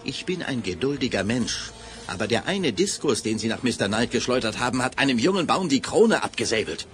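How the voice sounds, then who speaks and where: Stern gentlemanly boss, animated delivery. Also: male voice, mid-range voice, a little fast. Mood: indignant. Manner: formal, authoritative. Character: stern, gentlemanly male boss, patient until indignant. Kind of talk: 1980s German radio drama acting.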